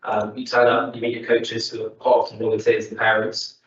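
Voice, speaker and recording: Low, flat-voiced man in a roomy council chamber. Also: brisk, distant mic, slight background noise. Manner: plain, matter-of-fact, with many ums. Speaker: sports club representative. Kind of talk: answering questions at a council meeting. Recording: dull, roomy sound from off-mic.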